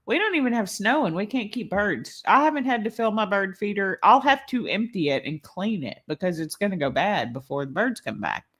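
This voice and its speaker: A woman's voice: medium pitch, big pitch swings, brisk, hardly any pauses, fairly bright tone, breathy edge. A woman vlogger chatting casually on a live stream, rambling and laughing often, a little congested. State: slightly congested. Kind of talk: casual live-stream chat while building a toy.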